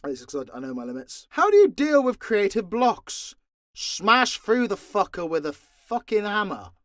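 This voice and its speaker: A man's voice: high-pitched, big pitch swings, normal speed, fairly bright tone, no room sound, studio quality. A man chatting casually and off the cuff as an audio creator answering questions, blunt, informal and sweary. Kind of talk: casual, unscripted Q&A chat.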